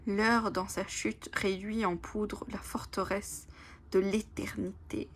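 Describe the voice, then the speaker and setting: A woman whose first language is Italian, casually presenting oracle cards, reading their lines aloud slowly and whispering in awe, with many fillers. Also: medium pitch, little pitch movement, a little hiss or hum. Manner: soft, often whispering in awe, hesitant with fillers. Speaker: oracle card channel host.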